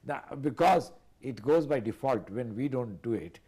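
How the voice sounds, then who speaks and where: A man, speaking as a guest analyst on a TV panel in a measured, hesitant way with many fillers. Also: medium pitch, expressive, mellow, slightly rough. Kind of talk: TV panel discussion.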